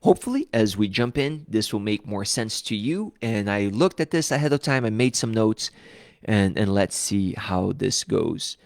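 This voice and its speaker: A man's voice: on the low side, animated, moderate pace, creaky, dry recording, clean studio sound. A man from Brazil with a Brazilian accent, hosting a live book-reading series in a casual, open, conversational way with frequent restarts. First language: Portuguese. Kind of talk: casual live-stream talk.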